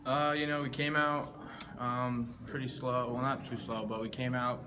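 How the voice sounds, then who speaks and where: A young man, a player, giving quick, casual postgame answers in an indoor hallway. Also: medium pitch, little pitch movement, noisy background. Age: young adult. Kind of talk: postgame interview.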